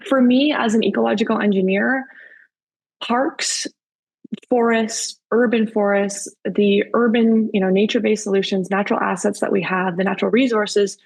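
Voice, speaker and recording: Gravelly-voiced woman presenting on a webinar. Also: mid-range voice, steady tone, normal speed, poor audio. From Canada. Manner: earnest, enthusiastic, with many fillers and restarts. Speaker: webinar speaker and author.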